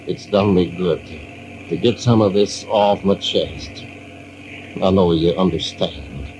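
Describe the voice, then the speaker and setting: Brooding old salt, low voice, halting delivery, grieving and gentle, old radio drama with faint noise. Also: male voice. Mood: troubled. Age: older. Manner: halting, repeats words. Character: guilt-ridden old seaman, grieving father, brooding and gentle. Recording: noisy old radio recording.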